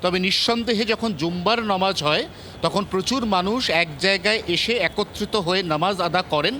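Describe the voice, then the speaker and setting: A male field reporter with a West Bengal Bengali accent speaks in a high, forceful voice. He explains point by point with emphasis, with faint outdoor traffic noise behind him. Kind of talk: live explanatory news report.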